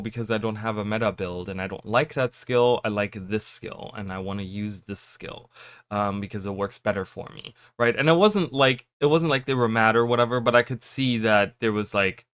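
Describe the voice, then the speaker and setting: Slightly raspy man rambling casually. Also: fairly low pitch, fairly bright tone, no room sound. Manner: rambling, many fillers and restarts. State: slightly raspy from just waking. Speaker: gaming YouTuber. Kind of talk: casual chat to camera.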